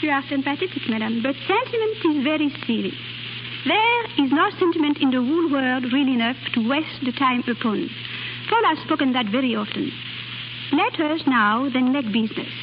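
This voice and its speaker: An actress playing a proud, secretly romantic young singer with a French accent, in 1930s radio style. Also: female voice, sits high, animated, normal speed. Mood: polite, guarded. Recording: dull scratchy 1930s radio recording, music.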